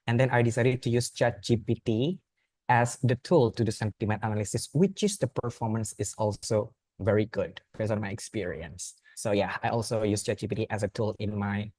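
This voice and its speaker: A man's voice: medium pitch, a little mellow, no echo. A young man with an Indonesian accent, a native Indonesian speaker, giving an earnest student talk with frequent restarts. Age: young adult. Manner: earnest, plain phrasing with frequent restarts and repeated words. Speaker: student speaker at a youth forum. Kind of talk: informal presentation.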